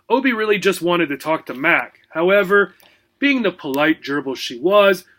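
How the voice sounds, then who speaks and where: A man's voice: fairly high pitch, a bit bright. A man narrating a children's book as a teacher, expressively and with theatrical emphasis.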